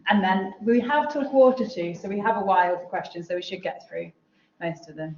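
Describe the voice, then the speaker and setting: A woman's voice: mid-range voice, brisk, darkish tone, muffled recording. Woman chairing the Q&A, echoey hall. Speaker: session chair. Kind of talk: organizing questions. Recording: distant, echoey hall audio.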